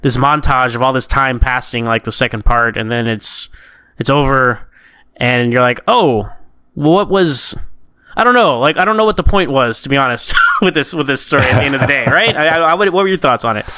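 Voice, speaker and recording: A man co-hosting a casual talk about comic books, rambling and animated as he talks through his reactions. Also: medium pitch, a little fast, smooth, dry recording. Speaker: podcast co-host. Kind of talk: casual comic book discussion.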